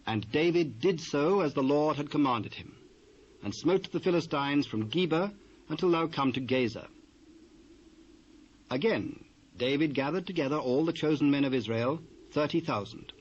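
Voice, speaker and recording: A British man narrating a dramatised Bible reading in a measured, formal manner. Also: fairly high pitch, expressive. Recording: dull, noisy old cassette.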